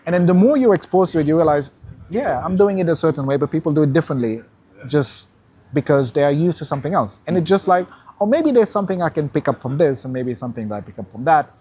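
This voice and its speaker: A man's voice: on the high side, expressive, warm tone, slightly rough. A man from Senegal, a guest in an interview, talking thoughtfully and stopping to restart his sentences. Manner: thoughtful, measured, with hesitant restarts. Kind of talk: conversational interview.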